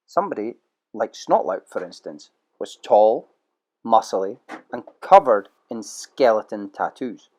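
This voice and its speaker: A man narrating a children's storybook audio play with lively, expressive storytelling. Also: mid-range voice.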